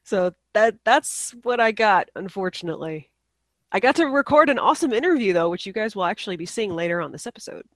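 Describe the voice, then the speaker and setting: A woman's voice: mid-range voice, animated, fairly quick, a bit bright, no room sound. A woman co-hosting a casual podcast, her voice congested from a cold, apologetic and laughing at herself. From United States. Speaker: podcast co-host. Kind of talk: casual chat.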